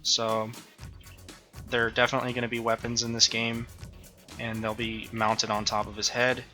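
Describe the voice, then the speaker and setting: A man walks through his own game in a relaxed devlog, pausing often with ums and uhs as he thinks aloud. His voice is very bright and forward, sitting at an ordinary pitch for a man. Soft music plays faintly behind him. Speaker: indie game developer. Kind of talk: casual devlog walkthrough. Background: faint background music.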